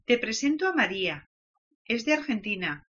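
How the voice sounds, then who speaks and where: A woman from Valencia, presenting a language course and giving learners clear instructions. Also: fairly high pitch, sing-song, brisk, a bit bright. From Valencia, Spain. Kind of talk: instructional lesson prompts. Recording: dull lo-fi audio.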